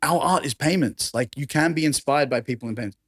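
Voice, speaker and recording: A man with an Australian accent and a raspy voice, talking enthusiastically as a podcast guest. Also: on the high side, fast talker, dry recording, clean studio sound. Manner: enthusiastic, conversational, laughs often. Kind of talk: casual chat.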